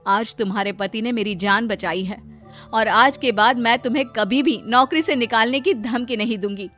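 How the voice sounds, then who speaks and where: A rich mistress of the house in a cartoon moral story, played by a woman, fairly high in voice. She speaks with earnest, humbled emotion over faint music. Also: moderate pace, a bit bright. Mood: remorseful, earnest. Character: wealthy female employer, humbled and grateful.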